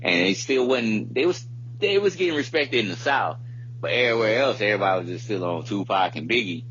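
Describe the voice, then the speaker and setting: Low-voiced man chatting, muffled hum. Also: lively intonation, brisk, fairly bright tone. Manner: informal, slangy, rambling delivery. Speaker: podcast co-host. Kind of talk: casual chat. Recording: muffled lo-fi audio with background hum.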